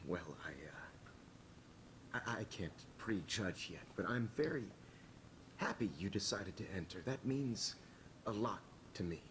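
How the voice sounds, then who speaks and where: A man playing an encouraging, slightly pretentious photography teacher in a video game, with a measured, hesitant, lecturing manner. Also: on the low side, lively intonation, slight background noise. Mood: flattered, encouraging.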